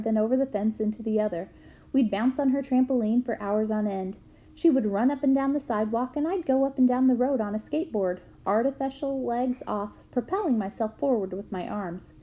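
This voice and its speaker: A woman reading a memoir aloud to students in a clear storytelling manner. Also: a little high, lo-fi sound. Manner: clear storytelling, bursts into loud shouts for quoted yells.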